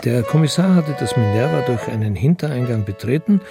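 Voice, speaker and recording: A man narrates a radio drama in a creaky, gravel-edged voice. His reading is scripted storytelling, with instrumental music playing behind him. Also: mid-range voice, lively intonation, fairly quick.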